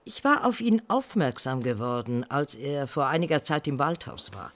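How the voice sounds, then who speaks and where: A woman's voice: extremely low pitch, very animated, normal speed. An actress in an audio drama playing a sturdy, firm and formal policewoman.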